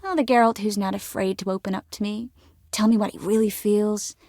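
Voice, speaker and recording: A woman's voice: mid-range voice, fairly even intonation, brisk, darkish tone, no room sound, studio quality, close to an everyday voice. A woman voicing a composed, caring young sorceress in a video game, earnest with a wry edge. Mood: serious, determined. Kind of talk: game dialogue acting.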